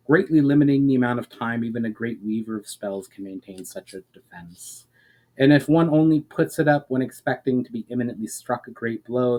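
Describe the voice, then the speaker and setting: A man voicing a calm, courteous elf spell-weaver in a formal, measured way for a fantasy story. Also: medium pitch, close to an everyday voice, rough recording. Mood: explanatory.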